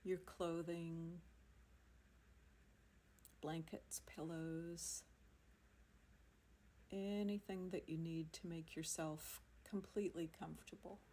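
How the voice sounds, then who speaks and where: A woman guides a relaxation practice as a yoga teacher would. Her voice is soft-edged and somewhat low, and she speaks very slowly with long, soothing pauses. It is an amateur recording with a faint bed of noise behind her. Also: fairly even intonation.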